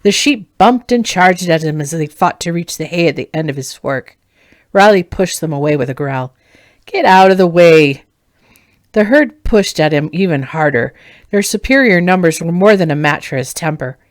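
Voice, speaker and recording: A woman reads a fantasy tale aloud in an expressive storytelling style. Her voice sits a little low for a woman. The sound is dry, with very little room in it. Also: moderate pace, dry recording. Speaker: author reading own novel.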